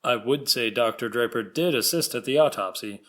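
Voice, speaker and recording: A man's voice: medium pitch, normal speed, fairly bright tone, natural voice. A man reading the part of a prosecutor, making a formal, reasoned argument to the court. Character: government prosecutor arguing procedure. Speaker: lawyer. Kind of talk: dramatic reading of a trial transcript.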